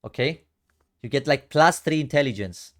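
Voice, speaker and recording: A man's voice: medium pitch, expressive, moderate pace. A young man from Cyprus with a Greek Cypriot accent, casually commenting on his own card game matches and chatting with viewers on a stream. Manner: chatty, slangy, talks to chat, often drops to a mutter. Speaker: streamer reviewing card games.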